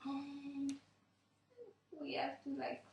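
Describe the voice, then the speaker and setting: Giggly slow-talking woman radio host. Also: sits high, completely flat delivery, gravelly, roomy sound, far from the mic, highs cut off, background noise. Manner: giggly, chatty, filler-heavy. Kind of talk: casual radio interview.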